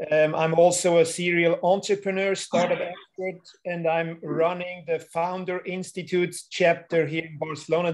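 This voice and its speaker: A man introducing himself as a consultant at a seminar, matter-of-fact and even. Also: a little high. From Switzerland.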